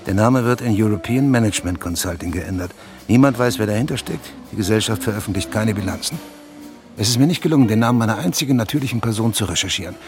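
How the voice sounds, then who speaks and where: A man's voice: on the low side, fast, natural voice. A man playing an intense, insistent investigative journalist who is hungry for an exclusive, in a radio drama. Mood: emphatic briefing. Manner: urgent, insistent, emphatic explaining. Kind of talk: German radio drama dialogue. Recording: music behind the dialogue.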